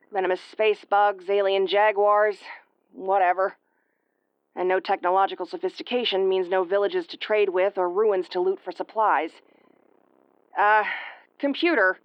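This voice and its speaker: A woman acts out a tsundere starship commander for an audio roleplay. She is tomboyish, brusque and easily flustered, speaking straight to the listener at a middling female pitch. The recording is dry and slightly dull. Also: smooth, no echo, natural voice. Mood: wary, exasperated.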